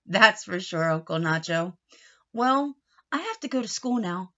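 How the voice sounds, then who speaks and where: A woman's voice: medium pitch, expressive, unhurried, fairly bright tone, highs cut off. A woman voicing a cheerful, caring schoolgirl niece in a storybook, eager and exclamatory. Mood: cheerful, encouraging.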